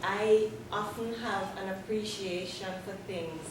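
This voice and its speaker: A woman's voice: medium pitch, steady tone, a little slow. A woman not born in the Bahamas, a craft artisan, speaking thoughtfully with restarts from the audience at a talk. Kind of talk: audience comment at a Q&A. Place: gallery event space with an audience. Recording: echoey room, far from the mic, audible background noise.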